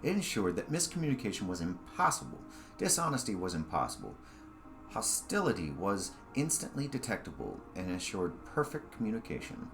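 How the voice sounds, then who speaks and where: A man reading his own short story aloud as scripted narration. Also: lowish voice.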